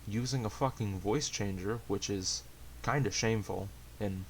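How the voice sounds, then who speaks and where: A man chatting casually to viewers, hesitant and halting, with a self-deprecating, rambling way of talking. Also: on the low side, poor audio. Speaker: amateur story reader and channel host. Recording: hissy amateur home recording.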